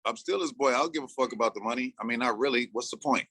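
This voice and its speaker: Guest: sixty-something man, bright forceful voice, dry close sound, loose storyteller who acts out his own lines. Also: medium pitch, racing, no room sound. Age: 60s. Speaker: interview guest, rapper and producer. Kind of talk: casual interview talk.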